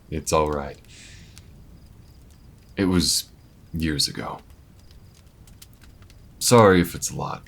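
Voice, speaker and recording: A man playing an easygoing, weathered alien bounty hunter in an intimate audio roleplay, talking in a folksy, unhurried way with murmured asides. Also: a bass voice, creaky, full character voice. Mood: wistful, reflective.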